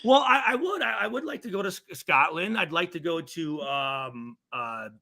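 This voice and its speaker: Bright high-voiced rambling man. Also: animated, moderate pace. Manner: rambling, swears, joking. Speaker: podcast co-host. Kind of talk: casual chat.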